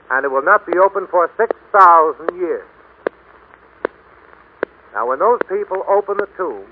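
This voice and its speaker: Solemn male host on tinny old radio. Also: high-pitched. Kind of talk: 1930s radio scripted narration. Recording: tinny, faintly noisy 1930s radio broadcast.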